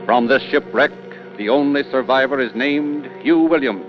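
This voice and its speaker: A man giving scripted, suspenseful narration in a deliberate, theatrical old-time radio style. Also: mid-range voice. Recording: dull old radio recording. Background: music.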